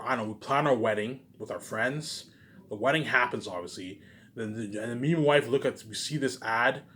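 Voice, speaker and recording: Fast-talking, brash young man rambling. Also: medium pitch. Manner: boastful, brash, sweary; repetitive, tacks on stock words at phrase ends. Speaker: aspiring film maker. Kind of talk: rambling casual monologue.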